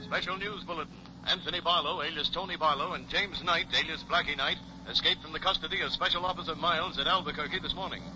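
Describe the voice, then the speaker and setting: An actor playing a news bulletin reader in a vintage radio drama, clipped, urgent and formal. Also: male voice, on the high side, fairly even intonation, fast, pushed and bright, soft background music. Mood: urgent, official. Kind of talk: 1940s radio drama acting. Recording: muffled, noisy vintage radio recording.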